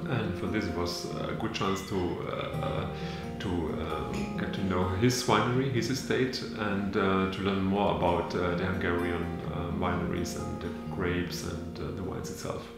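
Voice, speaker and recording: A man's voice: low voice, fairly even intonation, measured pace, few pauses. A man who manages a winery, answering interview questions with many fillers and restarts. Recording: very echoey with background music. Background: music.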